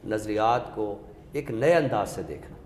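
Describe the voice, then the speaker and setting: A man's voice: on the low side, animated, moderate pace, darkish tone. A man explains literary ideas step by step with examples, in a didactic way, as an expert guest. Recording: echoey reverberant room with background noise.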